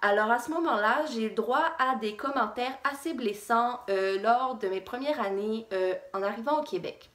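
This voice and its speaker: Higher-voiced woman, mixed French-Québécois accent. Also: moderate pace, few pauses, fairly bright tone, reverberant. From Réunion. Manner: conversational, frequent hesitations. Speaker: vlogger. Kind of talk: personal storytelling vlog.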